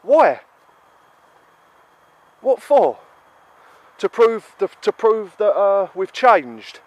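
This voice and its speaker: A man's voice: high-pitched, sing-song, drawn-out words, a little mellow, highs cut off. A British man with a stuffy nose from a cold, ranting heatedly in an emphatic monologue full of repeated rhetorical questions. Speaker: vlogger.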